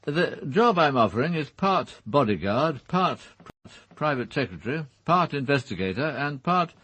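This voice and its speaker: An actor playing a blunt titled English gentleman with an upper-class accent and clipped manner in a radio drama. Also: fairly high pitch, sing-song, normal speed, no room sound. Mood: blunt, businesslike. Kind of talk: British radio drama acting.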